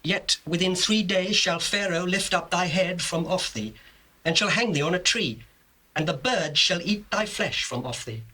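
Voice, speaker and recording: An actor with a British accent playing an earnest young Hebrew dream interpreter, declaiming solemnly in a scripture drama. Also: male voice, high voice, normal speed, pushed and bright, low-quality recording. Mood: solemn, urgent. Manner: declamatory, emphatic. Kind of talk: dramatized scripture acting in archaic English. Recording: faint hiss of old cassette.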